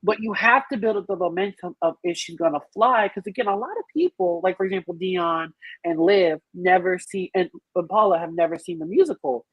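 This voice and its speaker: A woman's voice: on the low side, lively intonation, a little fast, slightly rough, no room sound, highs cut off. A woman in a casual group podcast discussion, speaking in an emphatic, playful, slangy way as a panelist. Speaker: podcast panelist reviewing a film musical.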